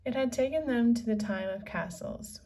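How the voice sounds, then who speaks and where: A woman reading a children's story aloud as narrator, with measured, deliberate phrasing. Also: fairly high pitch, mellow, slight background noise. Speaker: storybook reader. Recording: slightly distant from the microphone.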